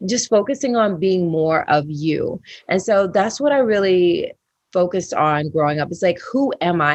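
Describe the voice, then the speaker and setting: A woman's voice: on the low side, muffled recording. A woman in her late thirties giving conversational, earnest and encouraging interview answers as a wellness coach.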